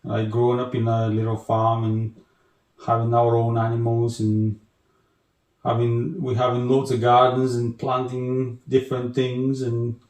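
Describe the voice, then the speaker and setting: A man from Bulgaria with a Bulgarian accent, chatting as an interview guest in a halting way with restarts and many fillers. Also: mid-range voice, monotone, darkish tone, roomy sound, poor audio. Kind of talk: casual interview chat.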